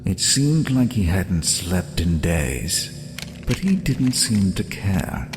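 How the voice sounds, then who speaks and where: A man acting as the solemn, measured narrator of a fairy-tale audio play. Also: low voice, big pitch swings, heavily acted. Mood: solemn, tender. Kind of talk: scripted storybook narration. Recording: long reverb, faint background noise.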